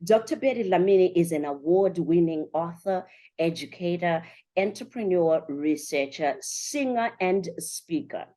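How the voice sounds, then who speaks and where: A woman with a fairly low voice hosts a podcast interview show. She is enthusiastic and chatty, talking directly to her audience in an upbeat presenting style. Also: expressive, a little slow, dark tone. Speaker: show host and conference organiser.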